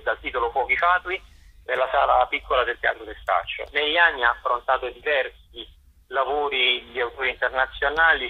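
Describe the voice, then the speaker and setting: A man giving a radio interview as a theatre director and actor, articulate and discursive, pausing to search for words. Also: mid-range voice, slightly rough, narrow, tinny audio. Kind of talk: radio interview, explaining and promoting work.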